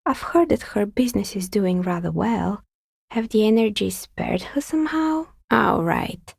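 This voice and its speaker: An actress playing a calm, wry woman who makes and sells novelty dice in a video game, speaking in a husky, measured, understated way. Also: mid-range voice, no echo, clean studio sound, heavily acted. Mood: reflective, explaining. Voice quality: husky, breathy, slightly creaky voice. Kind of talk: video game character dialogue.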